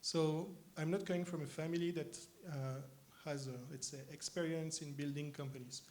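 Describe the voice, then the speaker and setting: A young man from France with a French accent, answering stage interview questions thoughtfully with frequent pauses. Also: fairly high pitch, fairly even intonation, darkish tone. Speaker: interviewed guest, company co-founder. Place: conference arena stage.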